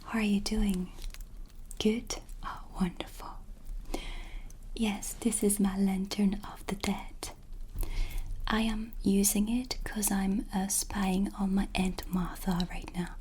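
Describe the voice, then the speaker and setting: Woman playing a slow, whispering kindly witch. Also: mid-range voice, level tone, a little hiss or hum, lightly acted. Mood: warm, confiding. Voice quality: breathy, whispery soft voice. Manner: gentle, unhurried, confiding. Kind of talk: ASMR cinematic roleplay. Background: ambient music.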